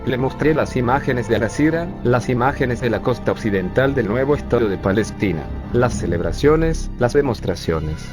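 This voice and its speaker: A man's voice: mid-range voice, expressive, normal speed, few pauses, natural voice. A synthetic man voicing a bitter former refugee. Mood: defiant. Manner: bitter, sarcastic storytelling. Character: urban planning professor recalling his youth. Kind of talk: scripted narration. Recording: music bed underneath.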